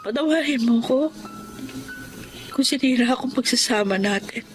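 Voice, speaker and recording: A woman's voice: on the high side, normal speed, natural voice. Woman acting as remorseful mother over music. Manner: earnest, heartfelt. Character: remorseful struggling mother seeking to rebuild her life. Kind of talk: emotional Filipino radio-style drama acting and narration.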